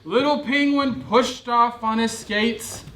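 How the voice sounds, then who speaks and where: A man's voice: very high-pitched, fairly even intonation, takes it slowly, fairly bright tone. A man telling a storybook to children in a playful, theatrical way, often putting questions to them. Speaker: children's storyteller and church kids' ministry host. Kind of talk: animated storybook reading to a group of children. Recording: noisy, echoey room with clicks.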